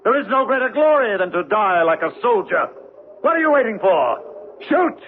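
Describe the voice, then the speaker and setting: An actor in a 1970s radio drama playing a proud SS officer who is unafraid of death, stiff and defiant. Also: male voice, sits high, very animated, normal speed, a little hiss or hum. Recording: narrow tinny 1970s radio broadcast.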